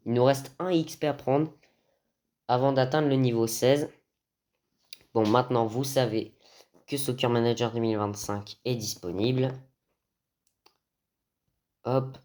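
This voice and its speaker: Male gaming host, medium pitch, hesitant football game commentary with frequent mid-phrase pauses. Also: a little mellow.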